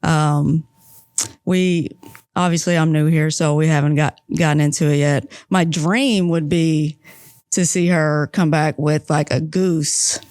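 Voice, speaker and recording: Teasing Texan woman with a smooth voice. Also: deep voice, fairly even intonation, a little mellow, no echo, clean studio sound. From Texas. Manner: chatty, teasing, quick to banter. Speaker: guest, aggregate plant leader. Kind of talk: casual podcast interview.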